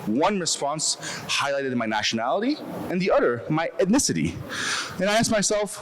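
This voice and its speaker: A young man from Canada giving a prepared, reflective personal talk in an earnest, measured way. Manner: earnest, measured delivery with lively pitch.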